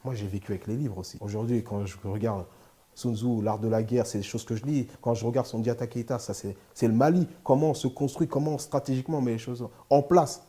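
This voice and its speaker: Fast-talking man with a dark voice. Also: mid-range voice. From France. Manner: steady, emphatic, builds points in repeated short phrases. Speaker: interview guest, lawyer and sports agent. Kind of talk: candid interview talk.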